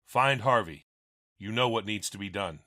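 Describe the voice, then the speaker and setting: A man's voice: fairly low pitch, moderate pace, bright, forceful tone, no room sound, studio quality. A man voicing an earnest, troubled police captain with an urgent manner in a crime drama. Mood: grave, pressing. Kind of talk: animated crime drama acting.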